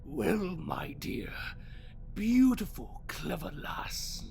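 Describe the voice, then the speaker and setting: An ancient, sinister fallen immortal holds forth in an audio drama over background music. The delivery is extremely slow and gravelly, bitter and mocking, with theatrical phrases that sink into hissing whispers. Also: mid-range voice, very animated, a little mellow, full character voice. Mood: mocking, silky. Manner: theatrical, riddling, drawn-out, sliding into hissing whispers.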